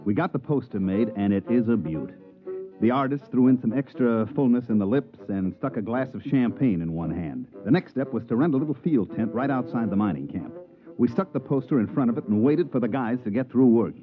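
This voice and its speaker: A man's voice: medium pitch, lively intonation, brisk, close to an everyday voice. A man playing a brash, slangy American con man and promoter in a 1950s radio drama, who also narrates the tale. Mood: wry, narrating. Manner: slangy, smooth-talking salesman patter. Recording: muffled 1950s radio recording with music.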